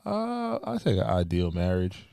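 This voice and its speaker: A man co-hosting a podcast, speaking in a steady, reflective and slangy way in casual chat. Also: sits low, unhurried, gravelly, clear, resonant tone, no room sound, clean studio sound. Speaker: podcast co-host.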